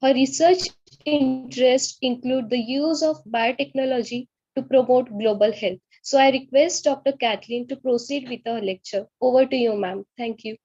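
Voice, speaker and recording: A woman's voice: high-pitched, normal speed, darkish tone. A woman reading a formal introduction of a guest speaker. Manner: formal, read-aloud delivery. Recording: dull lo-fi call audio.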